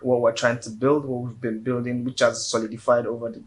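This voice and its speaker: A man's voice: mid-range voice, little pitch movement, fairly quick. A man with a Nigerian accent, a guest in a casual interview, speaking earnestly and often repeating words as he builds his point.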